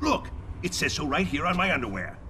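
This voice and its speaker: A man's voice: on the high side, big pitch swings, fairly quick, sharp tone, a little hiss or hum. An actor playing a theatrical, mocking male clown villain in a video game cutscene, with a sing-song, swooping delivery. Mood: playful.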